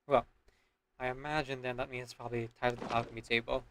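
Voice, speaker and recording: A man's voice: mid-range voice, level tone, quick pace, background noise. A man giving casual, rambling gaming stream commentary, often checking in with "right?" as he explains. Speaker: video game livestreamer. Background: background music.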